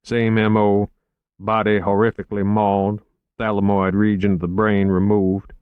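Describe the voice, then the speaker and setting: A man voicing an urbane, unhurried investigator in an audiobook, genteel and measured with dry wit. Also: fairly low pitch, level tone, clear, resonant tone, no room sound, narrow, tinny audio, close to an everyday voice. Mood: matter-of-fact. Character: urbane, unhurried, drily witty male investigator. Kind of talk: audiobook character acting.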